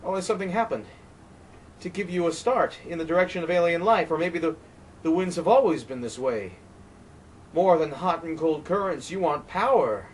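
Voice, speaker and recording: A man's voice: animated, normal speed, far from the mic, lightly acted, poor audio. A man voicing a frantic, terrified man who rages and pleads against the wind. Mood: feverish. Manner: shrill, shouting, panicked.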